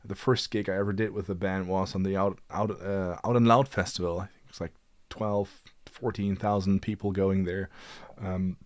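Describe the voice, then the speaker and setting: A man's voice: deep voice, fairly even intonation, moderate pace, no echo. A man in his late twenties talking casually as a livestream host, in a hesitant, rambling way with repeated words. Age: late 20s.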